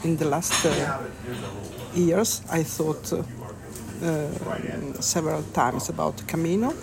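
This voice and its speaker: A woman from Italy, answering slowly and hesitantly as she searches for English words. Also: low voice, vocal fry, a crowd in the background. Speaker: pilgrim interviewee.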